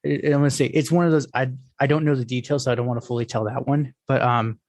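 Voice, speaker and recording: A man's voice: mid-range voice, fast talker, no room sound. A young man hosting a podcast, telling casual stories and acting out the voices of people he quotes, with lots of fillers and restarts. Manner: animated storyteller, acts out quoted voices. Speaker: podcast host. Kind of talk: casual storytelling chat.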